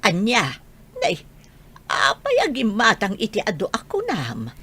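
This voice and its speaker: A woman plays an elderly Ilocano grandmother in a village radio drama. She is fond and chatty, with a husky, rough-edged voice and an average pitch. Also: wildly swooping pitch, measured pace, sharp tone, full character voice. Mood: surprised, fond. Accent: Ilocano, northern Philippines.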